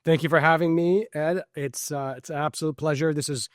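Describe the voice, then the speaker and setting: A man's voice: fairly high pitch, fairly even intonation, a little fast, no echo, studio quality. A middle-aged man born in Switzerland, a podcast guest answering questions in a reflective, conversational way with many fillers and restarts.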